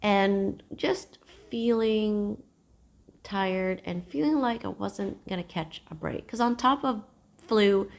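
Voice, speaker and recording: A young woman hosting a knitting podcast from home. She is hoarse from a cold and talks gently to camera, with thoughtful pauses. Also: mid-range voice, lively intonation. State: hoarse, losing her voice from a cold. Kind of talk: casual chat to camera. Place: at home, seated.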